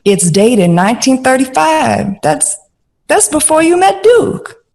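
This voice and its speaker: A bold, outspoken film star from the jazz era in an audio drama. Her voice sits fairly high with a husky rasp. She speaks slowly and bluntly, leaning on her words with salty emphasis. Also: sing-song, darkish tone, exaggerated voice. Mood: amazed, excited. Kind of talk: period audio drama dialogue.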